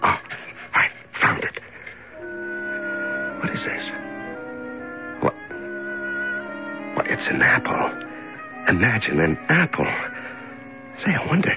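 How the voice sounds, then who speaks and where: Deep, gravelly, lonely prisoner. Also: male voice, heavily acted. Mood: searching, wondering. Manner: halting, musing, repeats words, talks to himself. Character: lonely, despairing male prisoner tenderly talking to a mouse. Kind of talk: 1970s radio drama acting. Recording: dull 1970s radio recording with music.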